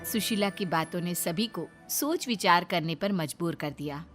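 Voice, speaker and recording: A woman's voice: on the low side, expressive, unhurried, music in the background. A woman narrator reading a scripted family story.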